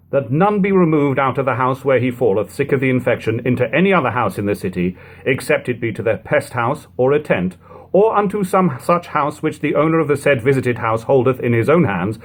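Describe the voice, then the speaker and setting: Male book narrator, husky tone, very animated pitch movement, formal and measured delivery of archaic text. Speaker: narrator reading a book aloud. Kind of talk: audiobook-style reading of an archaic text.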